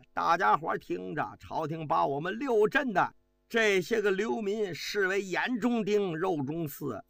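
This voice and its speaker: A man's voice: high-pitched, creaky, dry recording, voice pushed up, heavily acted. A man doing a fiery army officer. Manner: fiery, pleading, shouting rhetoric. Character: impassioned male army officer rousing troops.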